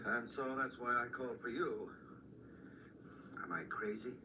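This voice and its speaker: An actor playing an earnest schoolteacher in a radio drama, speaking in a terse, probing way. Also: male voice, mid-range voice, fairly even intonation, unhurried, natural voice. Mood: urgent, earnest. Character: earnest convalescent male schoolteacher seeking help against vampires. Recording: distant, noisy replay of radio drama.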